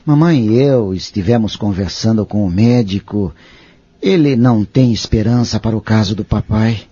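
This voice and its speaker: An actor playing a sober, courteous grown son with a husky voice in an audio soap opera. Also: male voice, lowish voice, moderate pace, no room sound. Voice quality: husky, dark. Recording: dry, dull lo-fi audio.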